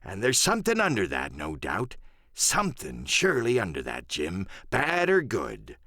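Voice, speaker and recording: A cunning old pirate sea cook for an audiobook. His pitch leaps and plunges through rustic sailor talk, blustering one moment and wheedling the next. The sound is close and dry. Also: wildly swooping pitch, moderate pace, bright, forceful tone, no room sound, heavily acted. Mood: confiding, scheming. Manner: rustic sailor speech, emphatic and wheedling by turns. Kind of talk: dramatic audiobook character acting.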